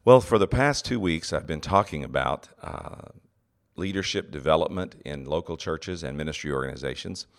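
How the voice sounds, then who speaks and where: A middle-aged man hosting a solo teaching podcast, his voice very deep and scratchy from a cold, speaking earnestly with many "uh" pauses. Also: lively intonation. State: has a cold, congested. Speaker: podcast host, seminary president.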